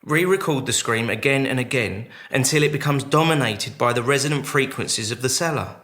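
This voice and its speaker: A man's voice: medium pitch, fairly quick, a bit bright, lightly acted. A man acting in a radio drama as a practical, put-upon lab technician, grudging and matter-of-fact. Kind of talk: naturalistic radio drama dialogue.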